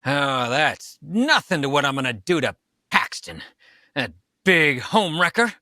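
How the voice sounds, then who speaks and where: An actor playing a jealous, hot-tempered but loving young husband in a re-created 1940s radio comedy, with an excitable, exaggerated delivery. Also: male voice, fairly high pitch, unhurried, no echo, studio quality, full character voice. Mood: furious.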